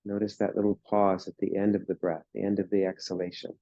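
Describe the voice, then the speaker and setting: A man guiding a yoga class through slow breathing and relaxation, speaking in calm, soothing instructions. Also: low-pitched, no room sound, highs cut off. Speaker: yoga teacher.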